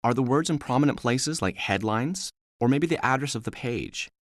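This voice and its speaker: Animated male instructional narrator, dry sound. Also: mid-range voice, moderate pace, no echo. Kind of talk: scripted instructional narration, voice-over demo.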